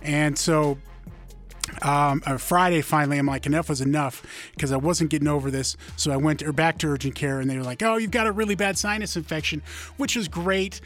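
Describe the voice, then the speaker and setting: A hoarse male radio host in a rambling, casual chat, full of fillers and asides. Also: fairly high pitch. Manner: rambling, filler-heavy, self-deprecating.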